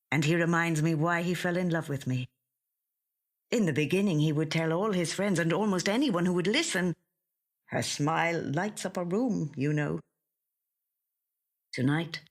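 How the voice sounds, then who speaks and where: Woman narrating a short story, really slow n gentle, measured, studio recording. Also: low-pitched. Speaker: actor reading a short story. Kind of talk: scripted literary narration, first person. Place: audio studio.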